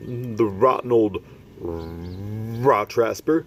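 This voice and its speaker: A man's voice: mid-range voice, normal speed, voice pushed up. A man voicing a huge, tender-hearted old male giant who talks with mangled grammar and made-up words. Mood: indignant. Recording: steady background noise.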